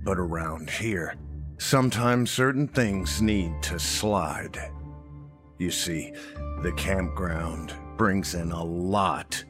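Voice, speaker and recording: A slow, low, menacing old sheriff. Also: male voice, music in the background. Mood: measured, menacing. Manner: matter-of-fact, unhurried, folksy, quietly threatening. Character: old sheriff, matter-of-fact, quietly menacing small-town lawman. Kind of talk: audio drama acting.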